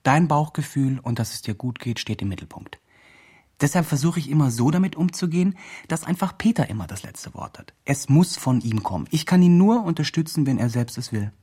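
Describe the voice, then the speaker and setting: Earnest online charity promoter, radio drama. Lively swings in pitch, slightly rough voice, emphatic and self-justifying. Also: male voice, fairly quick. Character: earnest male promoter presenting a homeless man's online campaign. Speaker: presenter of an online fundraising campaign. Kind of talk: scripted radio drama, mock video-blog narration.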